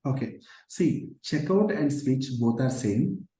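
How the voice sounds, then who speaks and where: A hoarse man teaching a live online coding class, explaining patiently with many fillers like "okay" and "uh". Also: mid-range voice, expressive, takes it slowly, dark tone. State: hoarse from shouting. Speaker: programming instructor. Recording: roomy echo.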